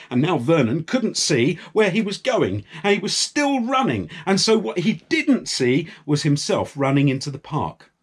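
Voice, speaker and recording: A man's voice: a little high, breathy edge. A man telling a story to young children in a playful way, drawing out and repeating words. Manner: playful, with drawn-out words and repetitions.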